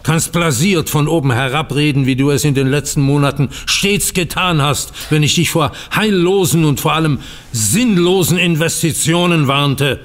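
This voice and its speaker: An actor playing a bitter, dignified older bank founder in a German audio drama, speaking formally with biting sarcasm. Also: male voice, a little high, moderate pace, big-room sound, natural voice. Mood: scornful.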